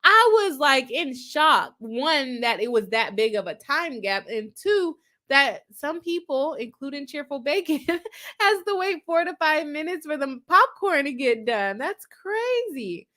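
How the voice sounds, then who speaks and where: A woman with a high, bright voice and a stuffy, congested nose, chatting casually as she hosts a live stream. She is an attorney who laughs often between remarks. Her tone is loose and conversational. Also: lively intonation, unhurried. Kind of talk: casual live-stream chat, reading viewer comments.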